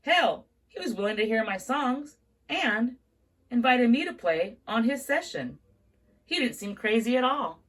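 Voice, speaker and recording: Expressive woman reading a book aloud. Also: a little high, a little slow. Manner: expressive read-aloud, carries on through interruptions. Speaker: book reader.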